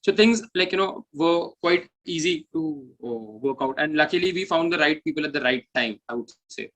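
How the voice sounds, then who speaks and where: A man's voice: a little high, lively intonation, moderate pace, fairly bright tone, rough recording. A man with an Indian English accent talking casually as an interview guest, rambling loosely with plenty of "like, you know". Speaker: band bassist and founder, interview guest.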